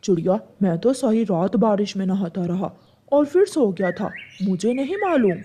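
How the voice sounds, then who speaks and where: A woman's voice: mid-range voice, lively intonation, normal speed, warm tone, breathy edge, voice pushed down. A woman voicing an unbothered male pigeon uncle in an offhand, matter-of-fact cartoon manner.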